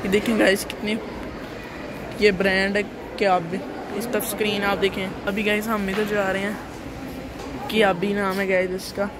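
Young man vlogging on a flat voice. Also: sits high, normal speed, long pauses. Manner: chatty, repeatedly addressing viewers as "guys". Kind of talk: casual walking tour narration. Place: outdoor parking lot then indoor shopping mall. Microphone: phone camera held while walking. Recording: noisy outdoor phone recording. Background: traffic and street noise outdoors.

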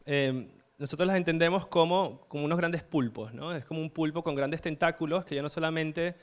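Venezuelan man in his thirties, conference speaker, slightly high, smooth voice, hesitant delivery with frequent fillers. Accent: Venezuelan Spanish. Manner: hesitant, many fillers and tag questions. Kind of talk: conference talk. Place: stage at an indoor event hall. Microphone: handheld mic.

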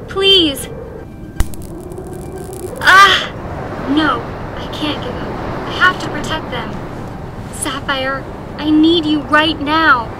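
A woman's voice: high-pitched, noisy background. A woman voicing an earnest, protective teenage anime girl with an emotional, pleading manner in a fan audio drama. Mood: desperate pleading. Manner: emotional, pleading, drawn-out cries. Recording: loud music under the voice.

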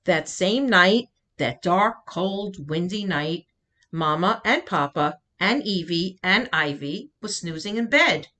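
Woman reading a storybook, slow and animated. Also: fairly low pitch. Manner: deliberate, dramatic pauses between phrases. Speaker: read-aloud host and storyteller. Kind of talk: expressive picture-book narration for children.

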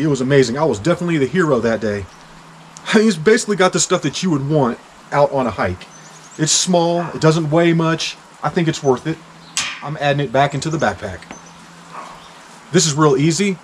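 A man's voice: a little high, slight background noise. A man in his forties from Kansas, reviewing hiking gear in a chatty, joking way on an outdoor deck. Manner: chatty, jokey, bursts of excitement. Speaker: hiking vlogger. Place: outdoor back deck in winter.

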